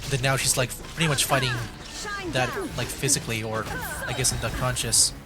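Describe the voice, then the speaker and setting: A man's voice: medium pitch, fairly even intonation, a little fast. A man doing casual gameplay commentary as a streamer, rambling and thinking aloud. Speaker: video game streamer. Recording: game music clearly behind the voice.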